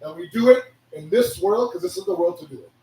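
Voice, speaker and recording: A hoarse man giving a sermon, preaching with animated rhetorical questions. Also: sits high, quick pace, background noise. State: hoarse, losing his voice. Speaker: religious speaker. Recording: echoey hall from a distance.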